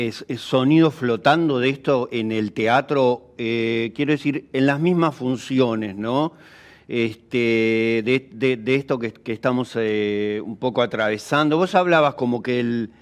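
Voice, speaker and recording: A man with an Argentine accent interviewing casually, feeling his way hesitantly toward each question with frequent tags. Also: medium pitch.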